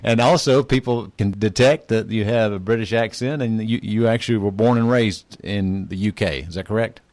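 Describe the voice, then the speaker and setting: A middle-aged man hosting a trade podcast, asking questions in a brisk, conversational way. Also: fairly low pitch, darkish tone, no room sound. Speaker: interview host.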